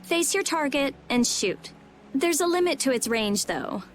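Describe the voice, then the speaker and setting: A woman's voice: sits high, lively intonation, a little slow, a little hiss or hum. A woman playing a brash teenage girl mage in a video game, blunt and sarcastic, talking like a know-it-all. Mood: matter-of-fact. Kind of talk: English video game voice acting.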